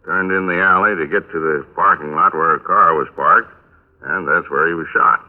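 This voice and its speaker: Police detective, played by a man: American, low-pitched, gruff and weary, rambling offhand delivery, vintage thin radio sound. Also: steady tone, normal speed, natural voice. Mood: matter-of-fact. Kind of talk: 1950s radio drama acting. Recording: narrow-band old radio recording.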